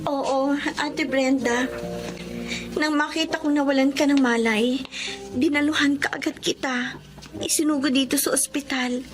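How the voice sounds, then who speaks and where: Radio serial lead: forgiving, gentle heroine, high pitch, sentimental delivery over music. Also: female voice, fairly even intonation, a little slow, long pauses. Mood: earnest, moved. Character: forgiving, gentle young woman telling her life story. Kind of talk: Filipino radio drama acting and narration.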